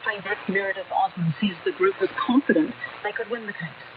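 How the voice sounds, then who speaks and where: A woman newsreader with a New Zealand accent delivers a scripted bulletin at a medium pitch. She comes over shortwave radio, narrow and distant, with clear noise around the voice. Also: brisk. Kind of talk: scripted news bulletin reading.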